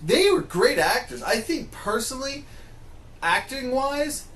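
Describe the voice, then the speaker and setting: A man's voice: extremely high pitch, sing-song, a little slow, a bit bright. A man hosting a podcast, moderating a casual chat among friends and moving the discussion along. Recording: echoey room with background noise.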